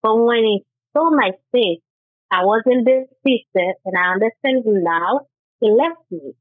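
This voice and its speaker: A woman's voice: a little high, expressive, no room sound. Woman, earnest. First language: Haitian Creole. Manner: earnest, halting with restarts. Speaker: guest author. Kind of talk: interview, personal testimony. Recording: tinny phone-like line.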